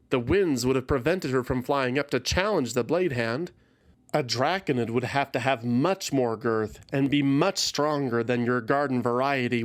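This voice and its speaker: A man reading scripted fantasy fiction as a narrator, in a solemn, deliberate and dramatic way. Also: mid-range voice.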